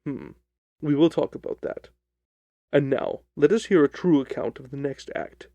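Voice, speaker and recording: A stern gentleman detective, read by a male narrator, commanding and deliberate. The voice is mellow with some gravel, accusing in tone, in a dry storybook recording. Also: on the high side, dry recording. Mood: firm questioning.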